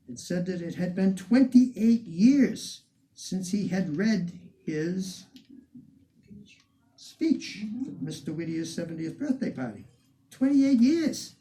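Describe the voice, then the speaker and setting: Older male curator; animated anecdotal talker, warm mellow voice. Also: sits high, slight background noise, rough recording. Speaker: museum curator. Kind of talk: storytelling talk to an audience.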